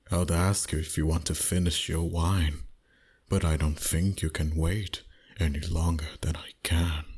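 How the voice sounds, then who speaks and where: A man voicing a dominant, flirty vampire boss in an intimate ASMR roleplay, teasing slowly in a husky voice that often drops to a whisper. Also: deep voice, full character voice. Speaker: voice actor. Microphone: close microphone.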